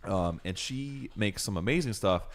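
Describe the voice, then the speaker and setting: A man co-hosts a casual podcast in a low voice. He rambles, jokes, and fills gaps with ums as he goes. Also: lively intonation, unhurried. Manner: rambling, jokey, full of fillers and playful bits. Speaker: podcast co-host.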